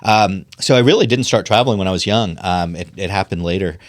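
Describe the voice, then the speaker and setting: A middle-aged man from Pennsylvania speaks as a podcast guest, his voice sitting very low. He talks earnestly and thoughtfully, pausing on ums and restarting phrases. The sound is dry and close, with no room around it. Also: dry recording. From Pennsylvania, USA. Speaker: podcast guest, political strategist. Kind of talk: conversational podcast interview.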